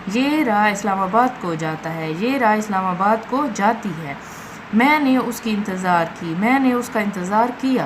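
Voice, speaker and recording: A woman with a Pakistani accent teaching grammar, deliberately reading out example sentences and repeating them in an instructive way. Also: fairly high pitch. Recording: loud background noise, amateur recording.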